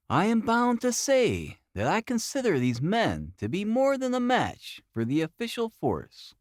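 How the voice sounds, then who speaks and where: Guy doing a shrewd bossy detective for an audiobook, formal n sure of himself, highish, super animated, dry sound. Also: no echo, exaggerated voice. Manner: precise, formal, self-assured. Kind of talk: audiobook character dialogue.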